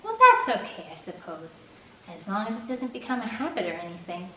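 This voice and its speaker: A woman voicing a sweet, earnest, devout teenage girl who talks in a bright, polite way. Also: medium pitch, huge pitch swings, fairly quick. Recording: echoey room, distant mic, faint air-conditioner hum.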